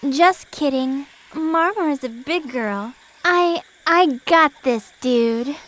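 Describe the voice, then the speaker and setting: A woman's voice: sits high, slow pace, fairly bright tone, rough recording. A woman playing a drunken, childishly playful goddess in an audio drama, with a girlish voice and a giggly drawl.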